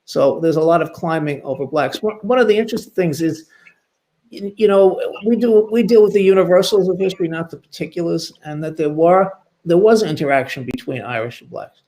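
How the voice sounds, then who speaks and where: A man speaking as a historian on a panel, informal but scholarly, halting at times and building emphatic lists. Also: a little high, dark tone, poor audio.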